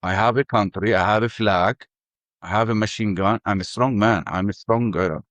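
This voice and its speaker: An older man with an Arabic accent telling his life story in halting English full of restarts. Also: lowish voice, a bit bright, dry recording, dull audio. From Ramallah. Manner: halting, many restarts and fillers. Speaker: interview guest. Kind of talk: personal testimony.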